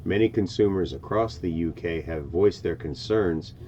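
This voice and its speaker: Low-voiced male, casual reaction host who stumbles as he goes, some background noise. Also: moderate pace, dark tone, muffled recording. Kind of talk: casual reaction commentary, reading on-screen news text aloud.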